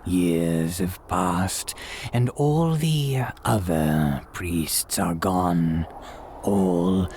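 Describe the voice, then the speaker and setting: A man's voice: low voice, very slow, darkish tone, a little hiss or hum, full character voice. A man playing a sinister old priest who hosts gothic horror tales, speaking in formal, archaic phrases with an ominous, theatrical delivery that dips into whispers. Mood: ominous welcome. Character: sinister old graveyard priest, courtly, eerie host. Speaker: horror tale host. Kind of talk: theatrical gothic radio drama monologue.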